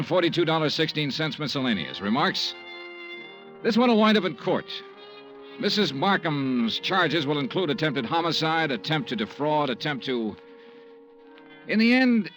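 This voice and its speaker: Cynical 50s radio gumshoe, clipped delivery, lively pitch, music behind. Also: male voice. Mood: dry, wry. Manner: clipped, blunt, pressing. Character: hardboiled, cynical male insurance investigator. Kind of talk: 1950s radio drama acting and first-person narration.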